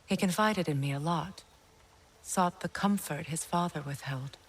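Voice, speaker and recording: A woman playing a sorrowful, regal middle-aged goddess and mother in a video game, speaking in a measured, earnest, wistful way. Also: low-pitched, a little hiss or hum. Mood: wistful, sincere. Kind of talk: cinematic video game acting.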